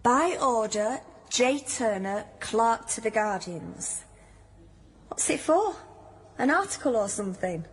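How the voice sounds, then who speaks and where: An actress playing a down-to-earth hospital nurse in a radio drama, with a Northern English accent and a blunt, teasing, colloquial way of talking. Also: female voice, on the high side, animated, slow, slight background noise. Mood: storytelling. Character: down-to-earth hospital nurse, teasing, plain-spoken old friend. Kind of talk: naturalistic radio drama dialogue.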